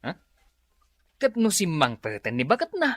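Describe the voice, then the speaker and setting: A man with an Ilocano accent playing a loud, mocking buddy in a comedic radio drama. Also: a little high, wildly swooping pitch, moderate pace, no echo, exaggerated voice. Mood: mocking, jovial.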